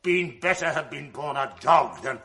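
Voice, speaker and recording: An actor playing a jealous, tormented general in a classic radio drama, swinging between roars and hushed whispers. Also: male voice, fairly high pitch, lively intonation, normal speed. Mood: raging. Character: jealous tormented general, man, volatile and commanding.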